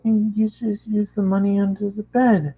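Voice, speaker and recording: A man's voice: sits high, level tone, a little slow, mellow, breathy edge, highs cut off, pitched above the performer's own voice. A man voicing a timid, apologetic young woman in a spoken story.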